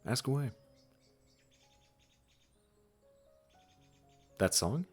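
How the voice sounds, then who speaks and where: A man playing a gentle, wise supernatural gardener in a comforting audio roleplay, speaking soothingly and reassuringly straight to the listener. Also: medium pitch, very animated, soft background music. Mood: warm, content. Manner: soothing, unhurried, reassuring, with soft chuckles.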